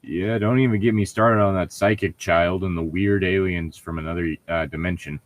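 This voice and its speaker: A man's voice: low voice, steady tone, normal speed. A man reading a story aloud as a co-host, breaking off for sarcastic, irritable, profane commentary and casual banter. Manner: sardonic, irritable, profane heckling.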